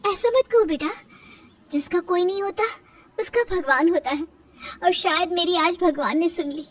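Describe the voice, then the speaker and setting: A woman's voice: very high-pitched, normal speed, distant mic, voice pushed up. A woman voicing a kind, protective, principled village mother in a moral-story cartoon. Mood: tender.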